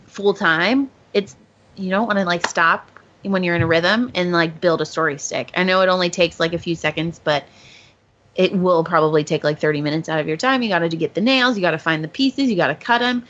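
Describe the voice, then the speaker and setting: A woman's voice: on the low side, a bit bright, muffled recording. A woman co-hosting a podcast in informal, enthusiastic casual chat. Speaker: podcast co-host.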